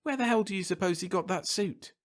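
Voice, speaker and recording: A man's voice: mid-range voice, a little fast, a bit bright, pitched above the performer's own voice, close to an everyday voice. A man voicing a brash television man in a story, blunt, informal and scoffing. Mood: mocking, amused.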